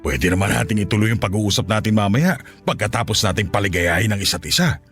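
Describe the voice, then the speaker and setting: An actor playing a lustful, coaxing middle-aged Filipino widower in a Tagalog radio drama. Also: male voice, lowish voice, expressive, fast talker, fairly bright tone, faint music behind. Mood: lustful, eager. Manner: suggestive, coaxing, chuckling seducer.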